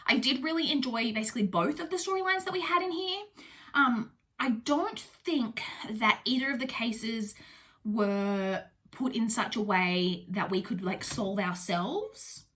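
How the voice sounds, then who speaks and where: A woman's voice: fairly high pitch, animated, moderate pace, fairly bright tone. Chatty woman with a stuffy cold. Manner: chatty, rambling, many ums and likes. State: nasal, blocked up with a cold. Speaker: booktuber host. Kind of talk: casual book review chat.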